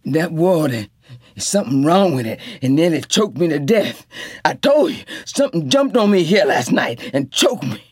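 A woman voicing a sick young man with a gravelly, drawling voice. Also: sits low, big pitch swings, normal speed, darkish tone, no echo, clean studio sound, voice pushed up, exaggerated voice. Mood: agitated. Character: easygoing young Southern man, falling sick.

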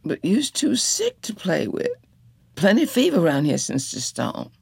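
Woman putting on a young wife, high husky voice, sing-song and fussing, dry close sound. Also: wildly swooping pitch, measured pace, a little mellow, no echo, heavily acted. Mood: anxious. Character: young Southern woman, tender and anxious.

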